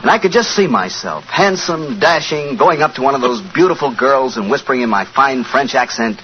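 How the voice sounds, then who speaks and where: An American man announcing on a 1940s radio show, with the smooth, folksy delivery of a scripted sponsor's salesman. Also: medium pitch, lively intonation, normal speed, a bit bright. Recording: dull, noisy 1940s radio broadcast.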